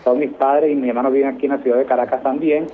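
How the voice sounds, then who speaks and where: A man's voice: medium pitch, steady tone, rapid-fire. A middle-aged man with a Venezuelan accent, answering interview questions in a conversational way as a military guest. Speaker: interview guest, army colonel. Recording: noisy, tinny phone-like line.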